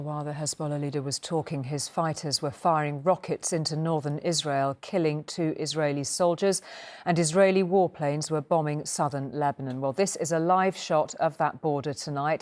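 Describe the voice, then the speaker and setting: A woman presenting scripted television news as an anchor. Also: low voice.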